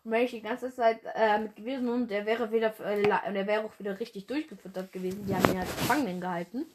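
A woman's voice: medium pitch, fairly even intonation, quick pace, a little hiss or hum. A young woman hosting her own fan podcast, rambling casually with lots of fillers and slang, her nose stuffed up from a cold. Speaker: podcast host.

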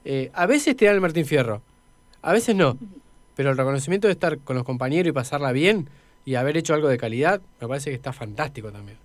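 A man's voice: mid-range voice, expressive, fast talker, no room sound. A man with an Argentine accent co-hosting a conversational radio interview, speaking in an emphatic, motivational way with repetition. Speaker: radio co-host.

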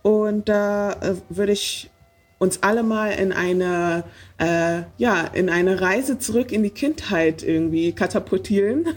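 A woman's voice: mid-range voice, slow pace. A woman hosting a casual podcast interview, talking with many hesitant fillers. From Germany. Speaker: podcast host.

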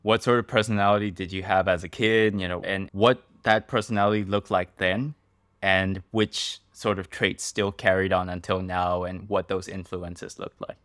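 A man's voice: low voice, little pitch movement, normal speed, fairly bright tone, dry recording, clean studio sound. A man hosting a podcast, asking rambling, searching questions in a casual interview. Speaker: podcast host.

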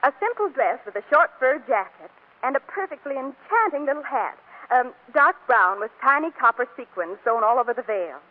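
A woman's voice: high-pitched, animated, moderate pace. A woman reporter with a gushing, chatty manner reading scripted 1940s radio advertising, on a tinny recording. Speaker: Hollywood reporter.

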